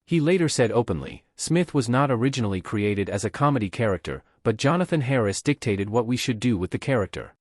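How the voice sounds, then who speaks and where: A man narrates a documentary script at a steady, measured pace. His voice sits in an ordinary middle register. The recording is dry and close, with no room sound. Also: mid-range voice, no echo.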